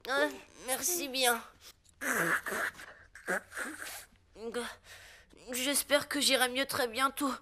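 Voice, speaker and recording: A woman voicing a gentle, polite moon caretaker in a French children's cartoon, speaking in a courteous, earnest way. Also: high-pitched, fairly even intonation, unhurried, very noisy. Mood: grateful.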